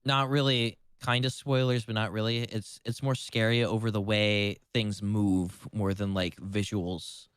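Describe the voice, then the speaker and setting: A man's voice: on the low side, little pitch movement, measured pace, few pauses, fairly bright tone, clean tone, no room sound, clean studio sound. A man in casual livestream chat as co-host, rambling with lots of "like" and casual swearing. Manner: rambling, heavy use of "like"; casually foul-mouthed.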